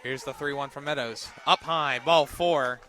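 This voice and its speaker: A man doing live play-by-play softball commentary for radio, with clipped, terse calls that lift on big plays. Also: medium pitch, steady tone, unhurried, some chatter behind. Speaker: play-by-play sportscaster.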